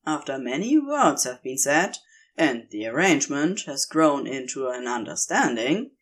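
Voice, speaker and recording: A woman narrates a story in a slightly low voice. She reads at a slow, measured pace, and her pitch moves expressively as she goes, in the manner of a storyteller. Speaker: podfic narrator reading a story.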